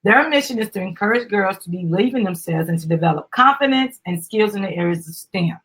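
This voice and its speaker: A middle-aged woman presents a children's book in a husky voice. She reads with animation, then steps out of the story to explain it. Her manner is encouraging and instructive, aimed at young listeners and their parents. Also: mid-range voice, lively intonation, fairly quick, a bit bright, low-quality recording.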